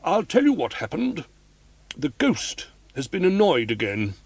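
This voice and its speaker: A man's voice: on the high side, huge pitch swings, measured pace, husky, no room sound, pitched above the performer's own voice, full character voice. A man doing an earnest old boxkeeper woman. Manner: earnest, gossipy. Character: chatty old female boxkeeper, superstitious and earnest.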